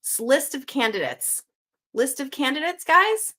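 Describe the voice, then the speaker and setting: Bright-voiced, chatty Canadian woman host. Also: high voice, sing-song, measured pace. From Canada (Montreal). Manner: upbeat, chatty, thinks out loud. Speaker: host of a live crossword stream. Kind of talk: casual live-stream chat, reading viewer guesses aloud.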